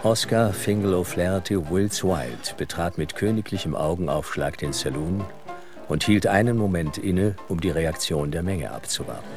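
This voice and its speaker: A man narrating an audio drama in a measured, suspenseful, literary style. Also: low voice, expressive, music in the background.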